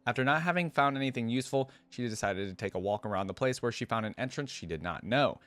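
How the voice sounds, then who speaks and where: A man's voice: mid-range voice, animated, fast, a bit bright, no echo. A man hosting a reaction video, chatting in a laid-back way as he comments and reads aloud. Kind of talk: casual commentary with read-aloud passages.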